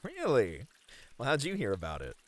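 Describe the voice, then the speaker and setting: A man's voice: mid-range voice, huge pitch swings, moderate pace. A man playing a friendly, easily flustered bunny man in an ASMR roleplay, earnest and a little hesitant. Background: pouring, trickling water.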